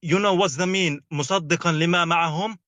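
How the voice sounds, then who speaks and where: A man's voice: a little high, quick pace, bright, forceful tone, dry recording. Insistent male caller, dry close sound. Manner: insistent, broken English, interrupts. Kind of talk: call-in religious debate.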